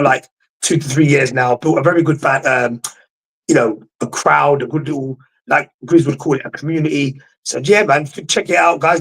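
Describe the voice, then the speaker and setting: A man's voice: on the high side, poor audio. A young man, a guest pundit in a casual football debate, speaking with confidence and saying "bro" constantly. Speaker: guest pundit, podcast host.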